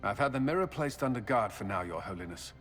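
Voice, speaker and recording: Fed-up army commander in a fantasy game, stiff and proper, bit of music under it. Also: male voice, lowish voice, lively intonation, quick pace, fairly bright tone. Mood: stern. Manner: formal, exasperated, clipped. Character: weary, dutiful male military commander, stiffly respectful. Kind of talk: video game voice acting.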